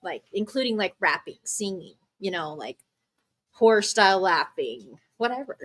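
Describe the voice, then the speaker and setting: A woman hosting a casual interview, excitable and chatty, with many fillers and some swearing. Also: mid-range voice, normal speed. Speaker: interview host.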